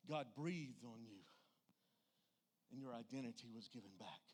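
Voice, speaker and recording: A man's voice: mid-range voice, normal speed. A man with a Southern US accent preaching a church sermon in a folksy, plainspoken and emphatic way.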